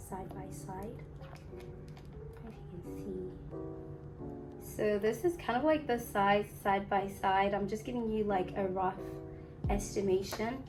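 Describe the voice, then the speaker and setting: A young woman with a slightly hoarse voice from a flu, chatting casually and rambling a little while she unboxes a phone. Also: medium pitch. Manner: chatty, rambling, uses fillers like "um" and "like". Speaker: unboxing vlogger. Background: music in the background.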